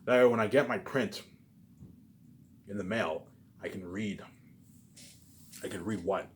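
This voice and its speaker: A man giving a rambling, informal monologue to his viewers, repeating himself, with emphatic profane bursts that drop into muttered asides. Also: on the low side. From Canada. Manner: repetitive, halting, with habitual trailing tags. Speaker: vlogger.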